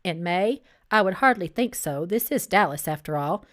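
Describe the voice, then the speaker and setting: Storybook fiancé read by a woman: stern, edgy, incredulous, resentful, with lively swings in delivery. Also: on the low side, normal speed, close to an everyday voice. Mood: firm. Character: stern, exasperated engaged man, resentful and suspicious.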